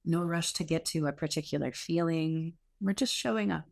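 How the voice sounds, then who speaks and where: A woman teaching guided meditation in an unhurried, reassuring, gently instructive way with pauses. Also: sits low, creaky.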